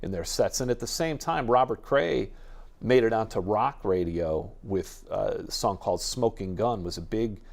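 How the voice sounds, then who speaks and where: A man's voice: lowish voice, moderate pace, creaky, darkish tone. A man speaking as a music expert guest in an informative, enthusiastic interview, explaining things at length in a discursive way. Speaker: radio jazz expert guest.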